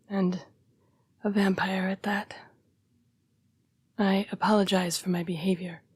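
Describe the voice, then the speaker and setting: A woman playing a young-seeming, formal and apologetic female vampire in an intimate audio roleplay, breathy and often dropping to a whisper. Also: on the low side, darkish tone, dry recording. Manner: formal, polite, measured phrasing. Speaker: vampire council's correspondence assistant.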